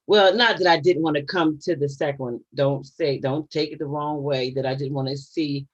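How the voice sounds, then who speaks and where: A woman's voice: sits low, fairly even intonation, moderate pace, slightly rough, dull audio. A woman in her fifties hosting a casual livestream from home, chatty and given to laughing asides to her viewers. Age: 50s. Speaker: channel host.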